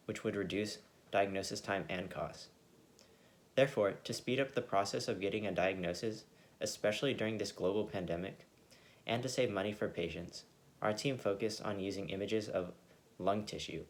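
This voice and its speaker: A teenage boy giving a steady, scripted student project presentation from a room at home over a laptop mic. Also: lowish voice.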